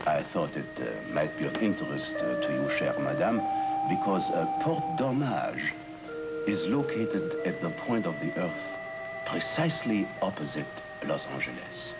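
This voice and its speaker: Radio drama: obsequious fat hotelier, halting, deep and highly inflected, music behind. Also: male voice, lively intonation, low-quality recording. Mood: ominous. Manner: halting, hesitant, fawning speech with searched-for words. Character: fat, obsequious male hotel manager on a tropical island. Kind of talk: old-time radio drama acting. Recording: old radio recording with music.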